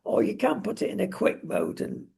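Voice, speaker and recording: A man with a husky, gravelly voice co-hosting a talk show, giving opinions and advice in emphatic, deliberate phrases. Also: sits high, huge pitch swings, a little mellow. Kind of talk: opinionated casual discussion.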